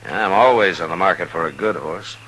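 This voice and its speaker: Gruff, low-voiced Old West marshal. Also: male voice, a little fast, sharp tone. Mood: weary, dry. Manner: gruff, terse, commanding. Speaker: lead actor in radio western. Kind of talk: 1950s radio western acting. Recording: noisy vintage 1950s radio recording.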